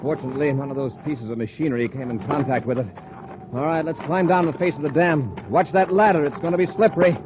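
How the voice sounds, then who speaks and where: Man acting a brisk, commanding space patrol leader. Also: fairly high pitch, lightly acted. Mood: urgent, brisk. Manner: brisk, commanding, gives orders. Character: decisive space patrol commander leading a dangerous rescue. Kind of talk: 1950s radio adventure acting. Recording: dull, noisy old radio recording.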